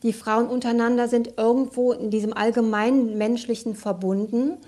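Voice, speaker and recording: A woman, a radio play director, giving reflective, measured answers in an interview. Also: on the high side, steady tone, mellow.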